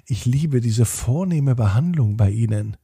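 A man's voice: mid-range voice, expressive, measured pace, vocal fry, dark tone, husky, no echo, studio quality, heavily acted. A man voicing young girls who play posh princesses with affected, formal speech, in a children's bedtime story. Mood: posh, playful. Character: polite little girls playing posh princesses.

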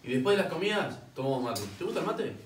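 Un hombre como soldado argentino, sala con eco. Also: male voice, fairly high pitch, very animated, fast talker. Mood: guarded, matter-of-fact. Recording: echoey distant room recording, clear noise.

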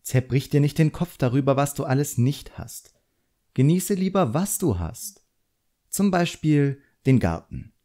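A man voicing a composed, quietly sharp female drawing teacher in an audiobook, instructive and measured. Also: on the high side, expressive, voice pushed up. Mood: calm, encouraging.

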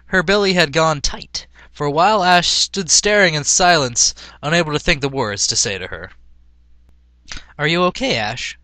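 A man's voice: a little high, lively intonation, moderate pace, few pauses, bright, forceful tone, no room sound. A man narrating a story as a theatrical dramatic reading. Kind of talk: dramatic storybook-style reading of fan fiction.

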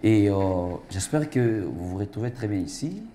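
Formal TV host, low mellow male voice. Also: animated, normal speed, slight background noise. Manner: formal, ceremonious, with frequent hesitation fillers. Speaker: TV talk show host. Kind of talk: formal studio interview. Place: TV studio set.

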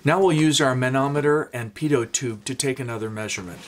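A man in his fifties, a woodworking instructor, giving a steady scripted explanation in a small home workshop. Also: mid-range voice, quick pace, a little hiss or hum. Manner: steady, explanatory, gestures while talking.

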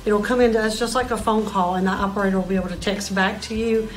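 A woman's voice: mid-range voice, fairly even intonation, fast talker, low-quality recording. A middle-aged woman, giving an informational explanation as a dispatch supervisor. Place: small dispatch room indoors. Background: music.

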